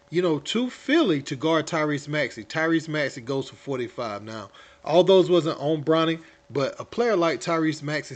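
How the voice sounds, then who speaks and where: A man hosting casual sports commentary, talking to viewers in a colloquial, slangy way. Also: a little high.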